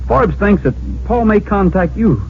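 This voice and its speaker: An actor playing a calm, concerned, plainspoken hero in an old-time radio drama. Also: male voice, sits high, a little slow, gravelly, exaggerated voice. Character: calm, concerned, open-minded hero investigating a mystery. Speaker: lead actor of the series. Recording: muffled old radio recording, faint hiss.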